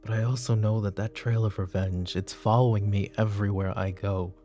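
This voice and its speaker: A man playing a weary, brooding middle-aged mafia boss and father in a roleplay audio drama, speaking in a halting, intimate way. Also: steady tone, warm tone, soft background music, lightly acted. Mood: uneasy, brooding. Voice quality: gravelly, low. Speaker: voice actor.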